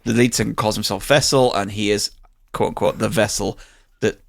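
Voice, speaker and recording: A man's voice: on the low side, steady tone, a little fast, creaky, dry recording. A man talking casually and enthusiastically as a podcast host reviewing a show, swearing freely.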